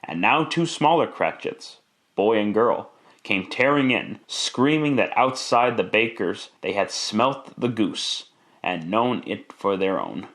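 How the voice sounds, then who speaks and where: A man's voice: on the low side, lively intonation, slow, a bit bright, exaggerated voice. A man narrating a classic radio drama in a theatrical, emphatic storytelling style.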